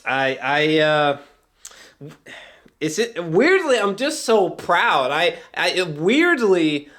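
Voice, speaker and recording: A man's voice: a little high, sing-song, fairly bright tone. A man chatting casually as an amateur film reviewer, geeky and gushing, swearing freely and often stumbling back over his words. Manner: halting, repeats himself, often breaks into chuckles.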